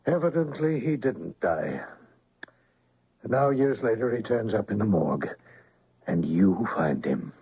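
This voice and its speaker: An actor playing an anxious middle-aged stepfather in a radio drama, husky and halting as he pleads. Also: lowish voice, big pitch swings, exaggerated voice. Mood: uneasy. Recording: muffled old radio broadcast.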